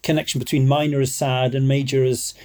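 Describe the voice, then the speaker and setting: Mellow-voiced man explaining music. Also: medium pitch, flat delivery, a little fast. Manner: digressive, hesitant, scholarly. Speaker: guest musician. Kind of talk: chatty expert explanation.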